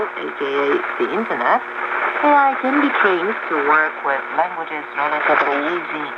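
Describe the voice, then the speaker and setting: Male radio host, scripted letter-reading, fairly high creaky voice, muffled noisy shortwave reception. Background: heavy shortwave static.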